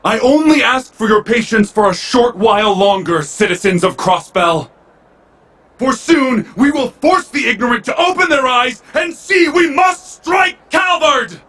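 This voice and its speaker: Game role: bombastic military ruler, high-pitched, piercingly forceful, grand declamatory oratory. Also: male voice, lively intonation, a little slow, exaggerated voice. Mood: imperious, impassioned. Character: bombastic male military ruler rallying citizens to war. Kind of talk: dramatic political oratory.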